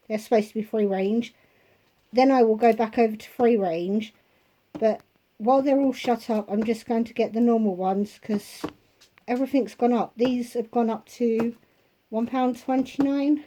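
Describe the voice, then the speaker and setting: Slow-talking hoarse woman vlogger. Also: on the high side, warm tone. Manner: chatty, unhurried, itemizing prices. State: hoarse, losing her voice. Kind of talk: casual shopping haul chat. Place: home.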